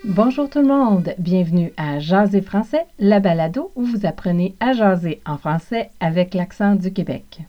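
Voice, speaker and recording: A woman from Quebec's Montreal South Shore with a Québécois accent, hosting a language lesson podcast and clearly repeating phrases for practice. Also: medium pitch, expressive, normal speed, hardly any pauses, highs cut off, soft background music. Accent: Québécois French. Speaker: podcast host and French teacher.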